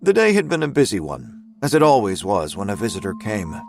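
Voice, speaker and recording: A man's voice: on the low side, wildly swooping pitch, brisk, vocal fry, faint music behind, exaggerated voice. A man narrating a scripted audio drama as its storyteller.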